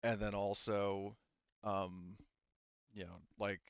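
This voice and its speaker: A man acting as game master in a tabletop roleplaying session, narrating and explaining rules in a halting, circling way with many hedging asides. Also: lowish voice, flat delivery, vocal fry, muffled recording, crowd noise.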